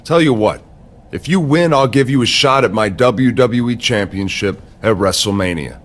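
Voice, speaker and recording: A man's voice: medium pitch, moderate pace, a bit bright, close to an everyday voice. An actor playing a smug, scheming male wrestling general manager in a video game cutscene, with a condescending, pompous manner.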